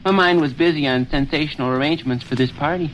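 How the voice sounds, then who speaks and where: A man's voice: on the high side, fast, lightly acted. Eager schoolboy played by a man, old noisy radio. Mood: eager, coaxing. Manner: earnest, persuasive, folksy boyish talk. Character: eager schoolboy son scheming to host a party. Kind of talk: 1930s radio comedy acting. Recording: noisy 1930s radio recording.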